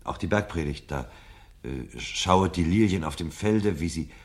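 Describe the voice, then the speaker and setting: A troubled, searching husband in a radio drama. His voice sits very low. He talks earnestly and haltingly, as someone unsure of himself and looking for answers. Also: expressive. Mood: pensive. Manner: hesitant, earnest. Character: troubled, searching married man, former book-burner. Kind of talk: 1970 German radio drama acting.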